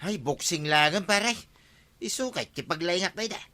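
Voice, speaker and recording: Forceful, boastful would-be watchman. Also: high-pitched, expressive, normal speed. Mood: blustering effort. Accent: Ilocano. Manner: boastful, blustering. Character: boastful, clumsy male would-be village watchman. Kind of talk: Ilocano radio comedy drama acting.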